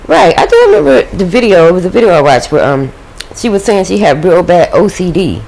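Lively, gravelly-voiced woman reading tarot. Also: lowish voice, brisk, poor audio. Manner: chatty, talks to spirit and viewers. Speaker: tarot reader. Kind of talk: casual tarot reading to camera. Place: indoors at a home table.

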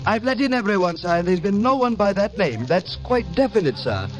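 A man's voice: high-pitched, big pitch swings, moderate pace, low-quality recording. A man playing a prim, deferential butler in a 1950s radio drama, formal and stuffy. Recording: old radio recording with music.

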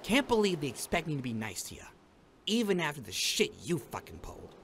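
Brash, high-pitched New gangster demon. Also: male voice, wildly swooping pitch, brisk, a bit bright, slight background noise, full character voice. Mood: sneering, annoyed. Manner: sneering, slangy, swaggering. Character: brash, foul-mouthed, sardonic young male demon gangster. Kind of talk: acted comic dub. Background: music.

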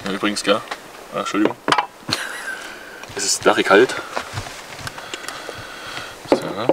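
Lively low-voiced man, off-mic. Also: slow. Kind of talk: casual camp chat. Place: forest camp at night. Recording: distant mic, noisy outdoors.

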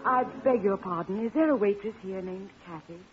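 An actress playing a young princess, refined and formal, in 1950s radio operetta style. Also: female voice, medium pitch, fairly quick, soft background music, lightly acted. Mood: earnest pleading. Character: young royal princess, dignified, pleading, jealous yet gracious. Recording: tinny, narrow old radio broadcast.

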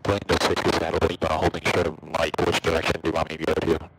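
A man's voice: rumbling low, completely flat delivery, fast. A man role-playing a military pilot making terse radio calls in a flight simulator game. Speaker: player acting as military jet pilot. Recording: very noisy, clicking radio-style voice chat.

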